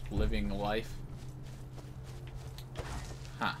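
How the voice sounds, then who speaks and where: A man's voice: on the low side, monotone, drawn-out words, noisy background. A man giving casual, offhand live commentary on a video game, half talking to himself as he plays. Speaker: gaming video host. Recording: game music behind the voice. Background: video game music.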